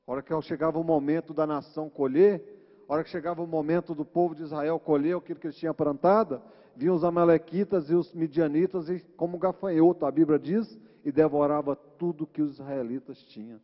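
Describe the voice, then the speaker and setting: Brazilian preacher in an echoing hall. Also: male voice, a little high. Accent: Brazilian Portuguese. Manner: didactic, conversational, with frequent tag questions. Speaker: church preacher. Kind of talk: informal sermon retelling Bible stories. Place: large reverberant church hall. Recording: muffled, echoing church hall recording.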